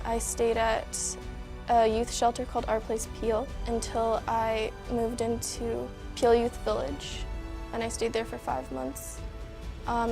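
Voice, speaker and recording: A woman's voice: on the high side, gravelly. A nineteen-year-old woman with a Canadian accent, giving a plain-spoken personal testimonial.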